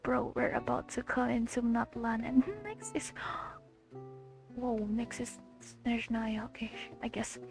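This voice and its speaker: A woman's voice: a little high, sing-song, a little slow, soft background music. A woman chatting casually as she plays a game and reacts to the story. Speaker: game player streaming her playthrough. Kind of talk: casual gameplay commentary, reading some dialogue aloud.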